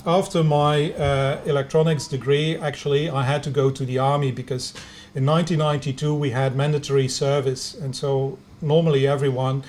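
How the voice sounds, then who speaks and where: A middle-aged man from Belgium whose first language is Dutch, giving a lecture as a biomedical engineer, speaking English with a Belgian Dutch accent and many pauses, fillers and restarts. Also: mid-range voice, a little mellow, slight background noise. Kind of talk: lecture to students over video conference.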